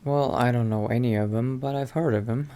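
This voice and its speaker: A man roleplaying a polite, secretive newcomer adventurer in a video game, speaking in a halting, low-key way. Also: steady tone, warm tone, clean tone, natural voice. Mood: reluctant, guarded.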